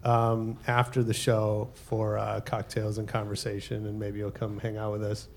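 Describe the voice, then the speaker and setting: A man's voice: lowish voice, completely flat delivery, moderate pace, gravelly, a little hiss or hum. A man hosting a live podcast at a hotel event, casual and joking, with lots of ums and uhs. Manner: casual, filler-heavy, joking. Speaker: podcast host.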